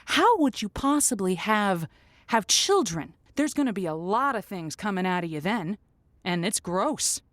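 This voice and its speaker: A woman's voice: mid-range voice, big pitch swings, unhurried, no room sound. A woman hosting a comic call-in advice show, speaking conversationally in an emphatic, stammering, folksy way.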